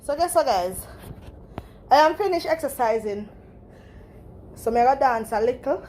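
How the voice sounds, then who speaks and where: A woman's voice: sits high, animated, moderate pace, darkish tone, slight background noise. A woman with a Jamaican accent talking playfully to her viewers in a casual home workout vlog. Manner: chatty and playful, breathless after exercise. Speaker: vlogger.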